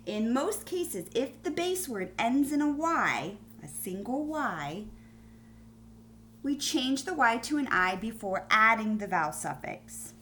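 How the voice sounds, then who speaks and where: A woman schoolteacher giving a phonics lesson to young pupils on video, explaining deliberately and spelling things out. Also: on the high side, big pitch swings, fairly bright tone, slight background noise. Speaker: primary school teacher.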